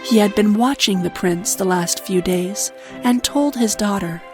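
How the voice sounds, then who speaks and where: A woman's voice: mid-range voice, unhurried. Woman narrating a fairy tale over music. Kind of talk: scripted children's story narration.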